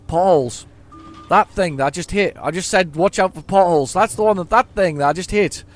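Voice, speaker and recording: A hoarse man giving casual, rambling commentary while playing a driving game at home, often stammering and repeating words as he chats to himself. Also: high-pitched, a little fast, faint music behind. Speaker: gaming YouTuber.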